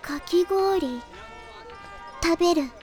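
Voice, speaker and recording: A woman playing a small, quiet girl in an anime audio drama, speaking in blunt, childlike phrases. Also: extremely high pitch, extremely slow, faint music behind, exaggerated voice. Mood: wanting. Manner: terse, flat, childlike delivery.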